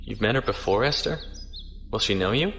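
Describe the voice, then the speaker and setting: Low-voiced, courteous starship captain , acted by a man. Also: lively intonation, creaky, a bit bright, slight background noise. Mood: concerned. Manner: polite, measured, formal. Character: courteous, earnest male starship captain on a mission. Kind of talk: audio drama acting.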